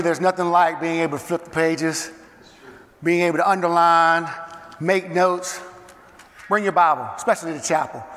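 Slightly hoarse man in a reverberant chapel. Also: a little high. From South Carolina. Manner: energetic, conversational, says um and uh. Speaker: guest chapel speaker and youth football coach. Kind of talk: casual chapel talk to students. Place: campus chapel.

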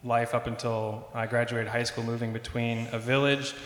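A young man on a student panel, telling stories in a relaxed, casual way with plenty of filler words. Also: mid-range voice, moderate pace. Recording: echoey hall with faint noise.

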